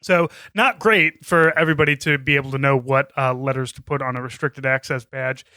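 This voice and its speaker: A man with a bright, forceful voice trades jokes as a podcast co-host, animated and loose, swearing now and then. He sits close to the mic in a dry room with no echo. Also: medium pitch, brisk. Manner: jokey, animated, swears casually. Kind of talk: casual chat.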